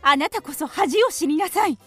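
A woman plays a virtuous young noble lady, proud and formal. Her very high voice swings expressively in dramatic radio-play style. Music is clearly heard behind her. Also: lively intonation, moderate pace. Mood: indignant, scornful. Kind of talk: theatrical radio drama acting.

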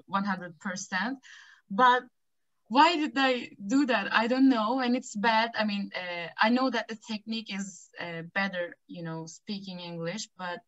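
A young woman, chatting excitedly with lots of giggles and fillers as a webinar attendee on a video call. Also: on the high side. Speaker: webinar attendee asking a question. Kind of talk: casual spoken question on a video call. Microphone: laptop mic on video call. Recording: dull lo-fi video call audio.

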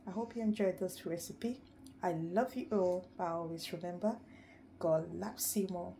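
A woman from Ghana, gently narrating a home cooking video step by step and blessing her viewers. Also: on the low side, gravelly. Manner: gentle, unhurried, affectionate sign-off with blessings. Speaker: cooking vlogger. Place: home kitchen at the stove. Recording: sizzling frying noise behind the voice.

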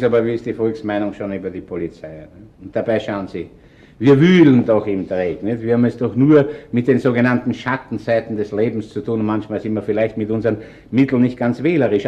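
A man acting a shrewd, chatty police chief inspector in a radio crime drama, with a Viennese accent and a deliberate, probing way of talking. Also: lowish voice, a little mellow, natural voice. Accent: Viennese Austrian. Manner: colloquial, deliberate, insinuating. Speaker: actor.

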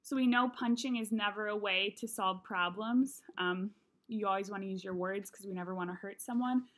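A woman's voice: mid-range voice, normal speed, fairly bright tone. A young woman reading a children's novel aloud as narrator, recorded in a small room at home. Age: young adult.

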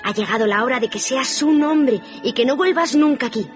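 A woman voices an affectionate little sister in a radio play. Her voice is high, sweet and earnest, in a slightly muffled recording with faint music. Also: fairly quick, natural voice. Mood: tender excitement. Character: loving, excited little girl, the sister. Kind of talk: radio drama acting.

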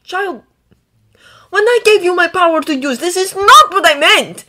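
A woman voicing an ancient male dragon in an audio story, quiet but firm, speaking in a wry, measured, formal way. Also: fairly high pitch, very animated, voice pushed up. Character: wry, gentle ancient male dragon, weakened yet regal.

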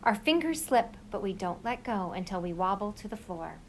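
A woman reading a children's picture book aloud in an expressive storytelling style. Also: mid-range voice, moderate pace, a little hiss or hum.

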